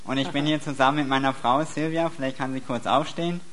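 A man's voice: medium pitch, steady tone, fairly bright tone. A man interpreting a sermon in a church, sometimes hesitating and restarting phrases. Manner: quick, with occasional hesitant restarts.

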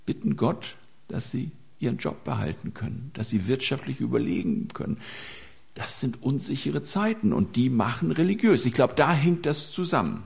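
A man's voice: medium pitch, very animated, moderate pace, vocal fry, darkish tone. A man preaching a sermon to a gathering, telling anecdotes with small hesitations.

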